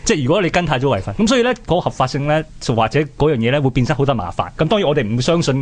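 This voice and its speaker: Fast-talking Hong Kong man discussing history. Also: a little high, sing-song. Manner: colloquial, explanatory. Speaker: radio history programme co-host.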